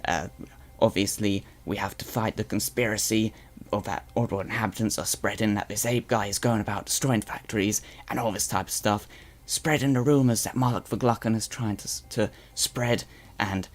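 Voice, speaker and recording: A man's voice: fairly low pitch, fairly even intonation, normal speed, natural voice. A man voicing a boastful male Slig guard turned propaganda newscaster, with a weird put-on accent and a rambling, stammering way of talking. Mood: blustery. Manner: blustery, rambling with stammered restarts. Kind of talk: in-character live stream chat roleplay.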